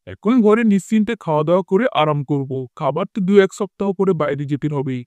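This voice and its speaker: Cartoon husband, male performer: stubborn mama's boy, quick delivery, high male pitch. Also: animated, darkish tone, no room sound, natural voice. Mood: placating. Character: stubborn husband devoted to his mother. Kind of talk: Bengali cartoon fairy-tale voice acting.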